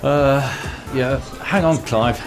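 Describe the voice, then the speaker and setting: A man's voice: mid-range voice, takes it slowly. A man in an amateur radio play acting a desperate, secretive friend with his hopes on the lottery, over music. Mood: awkward, sheepish.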